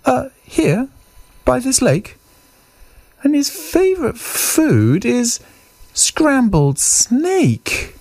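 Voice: high-pitched, sing-song, extremely slow, long pauses, darkish tone, no room sound, pitched above the performer's own voice, full character voice. A performer voicing a small male storybook mouse, timid but cunning and cheeky, with a hesitant stammer. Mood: bluffing. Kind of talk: storybook character voice.

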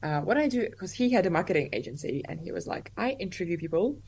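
A woman's voice: on the low side, lively intonation, fast talker, vocal fry, darkish tone, breathy edge. A young woman, a guest chatting casually in an interview, full of "like" fillers and easy laughter. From Russia. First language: Russian.

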